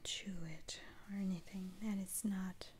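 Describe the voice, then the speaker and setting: A woman whispering in a breathy, halting, gently reassuring way, roleplaying a soothing witch doctor in an ASMR style. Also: medium pitch, level tone, slight background noise. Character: soothing, unhurried female witch doctor lifting curses. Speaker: ASMR performer.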